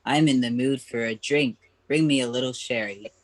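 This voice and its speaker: Male actor as flirty diner patron, radio comedy: medium pitch, casual, deadpan, cheeky. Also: moderate pace, lightly acted. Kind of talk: radio comedy play acting.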